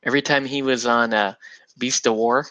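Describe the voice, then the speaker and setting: A chatty man hosting a model-building show, with a bright, forceful tone. He rambles and jokes along, full of "uh" and "like," and the sound is dry and close, with no room echo. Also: mid-range voice, steady tone, normal speed. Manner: rambling and jokey, with lots of fillers; breaks into laughter and puts on silly voices. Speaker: miniatures hobby host. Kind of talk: casual chat while building models.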